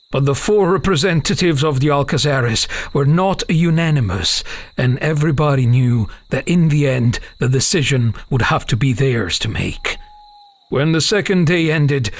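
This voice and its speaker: A man narrating a scripted fantasy audiobook as a dramatic, measured, solemn storyteller. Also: mid-range voice, creaky.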